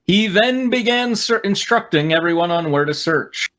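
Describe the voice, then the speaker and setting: A man's voice: high-pitched, lively intonation, normal speed, a bit bright. Wry man reading fan fiction aloud. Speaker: reader and riffing co-host. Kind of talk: comic read-aloud of fan fiction with asides.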